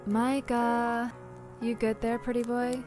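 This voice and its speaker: A woman's voice: fairly high pitch, little pitch movement, takes it slowly, over music. Woman acting teasing, unflappable co-worker. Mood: playful, smug. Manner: playful, nonchalant. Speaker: podcast drama actor. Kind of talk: audio drama acting.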